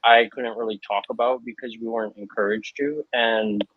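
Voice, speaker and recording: A man's voice: medium pitch, normal speed, breathy edge. Earnest man on a dull video call. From Hawaii. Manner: earnest, thoughtful, with frequent fillers. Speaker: panel guest. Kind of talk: reflective panel talk. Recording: dull lo-fi, dry sound.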